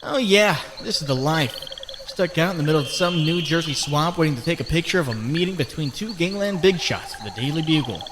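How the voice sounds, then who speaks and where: Man acting as animated, wisecracking young superhero. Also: a little high, brisk. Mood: sarcastic, musing. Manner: sarcastic, animated, exclamatory. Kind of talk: comic book dub, character monologue. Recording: clearly noisy background.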